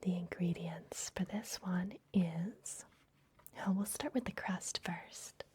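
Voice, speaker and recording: A woman playing a gentle, secretive vampire librarian in an ASMR fantasy roleplay, speaking in a soft, breathy, confiding whisper. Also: on the low side. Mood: cozy, instructive. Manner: gentle, hushed, confiding, unhurried.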